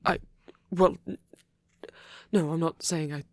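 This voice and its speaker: A man voicing a gentle, protective vampire priest in an ASMR roleplay, speaking softly and breathily in halting, earnest, tender confession. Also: on the high side, steady tone, no echo, natural voice. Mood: flustered, hesitant. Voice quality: breathy, whispery, soft. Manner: hesitant, halting, earnest delivery. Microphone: close microphone, dry sound.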